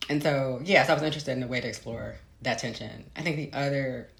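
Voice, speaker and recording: Female interviewee, author type. Crackly vocal fry, thoughtful and searching delivery, reverberant room sound. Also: rumbling low, quick pace, a crowd in the background. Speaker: interviewed novelist. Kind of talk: reflective conversational interview. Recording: roomy, echoey sound.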